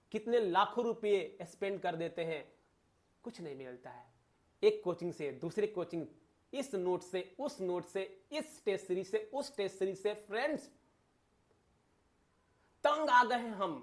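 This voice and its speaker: A man with an Indian accent giving an informal exam-prep lecture to viewers, emphatic, using rhetorical questions and repeated phrases. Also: high-pitched. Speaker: exam-prep teacher and channel host.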